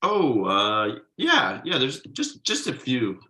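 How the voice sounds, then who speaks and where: An evasive, defensive husband and father, acted by a man at a table read. His delivery is conversational and halting. The voice is forward and bright, with very lively pitch movement. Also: full character voice. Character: defensive, evasive middle-class husband and father writing ad copy. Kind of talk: table read of a TV pilot script.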